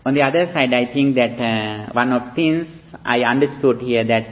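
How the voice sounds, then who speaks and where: A man, a Buddhist monk from Sri Lanka with a Sri Lankan accent, giving a slow, halting dharma talk with pauses for an interpreter. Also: medium pitch. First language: Sinhala. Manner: slow, halting speech with many fillers. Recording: dull lo-fi recording.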